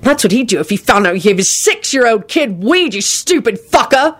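A woman's voice: fairly high pitch, big pitch swings, a little fast, fairly bright tone, pitched above the performer's own voice. A woman voicing an exasperated young wizard. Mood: angry. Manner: mild, then snappish. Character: exasperated young adult male wizard, godfather.

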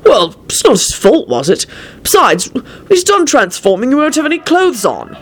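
A woman's voice: sits high, wildly swooping pitch, moderate pace, slightly rough, pitched above the performer's own voice, exaggerated voice. A woman voicing an easygoing, breezily reassuring man in a story. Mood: mild reproach.

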